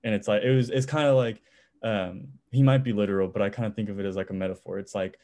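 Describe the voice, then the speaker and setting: A young man in college, a music producer, talking as a podcast guest in a relaxed, rambling way. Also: fairly low pitch, quick pace. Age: young adult. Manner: relaxed, rambling, many "it's like" fillers. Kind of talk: casual interview chat.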